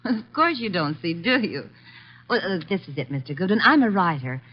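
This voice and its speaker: Lively, coquettish young lady writer. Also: lowish voice, moderate pace. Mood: coy, eager. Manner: coquettish, gushing. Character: coy, eager young blonde woman writer. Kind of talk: 1940s-50s radio drama acting. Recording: dull vintage radio recording.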